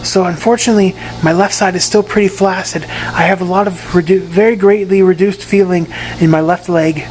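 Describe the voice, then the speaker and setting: Hoarse, raspy man, halting delivery, casual update from his room, faint hum behind. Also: high-pitched, fairly even intonation, darkish tone, rough recording. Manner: halting, often repeats and restarts words. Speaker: patient giving a recovery update. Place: private room in a rehab facility.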